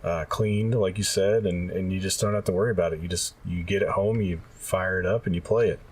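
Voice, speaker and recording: A man's voice: deep voice, steady tone, brisk, darkish tone, breathy edge. A man talking casually as a podcast co-host, sounding a little distant from the microphone.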